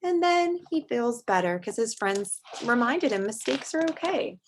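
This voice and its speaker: Female teacher, slightly high voice, expressive read-aloud for kids with plain-worded asides, noisy amateur recording. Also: measured pace. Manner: expressive, speaking simply to young children. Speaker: teacher reading aloud to children. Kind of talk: picture-book read-aloud with chatty asides.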